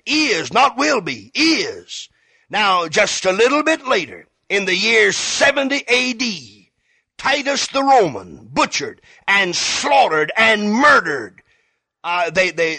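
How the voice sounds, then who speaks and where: A male radio evangelist with a high, sharp-edged voice preaches with fervor. He hammers phrases by repeating them and addresses the listener directly. The sound is close and dry, like a studio broadcast. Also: dry recording. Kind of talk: fervent evangelistic radio sermon.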